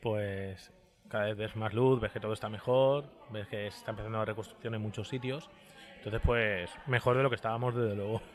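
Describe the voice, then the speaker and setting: A man's voice: fairly low pitch, fairly even intonation, a little fast. A man, a bookshop owner answering conversationally in an interview.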